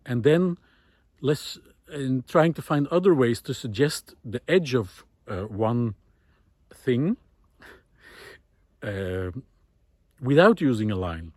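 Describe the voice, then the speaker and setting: A middle-aged man thinking aloud in an informal, reflective monologue, often pausing mid-phrase as he searches for words. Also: mid-range voice, animated, darkish tone, no room sound, clean studio sound. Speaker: art teacher giving a recorded monologue. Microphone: close recorder carried while walking.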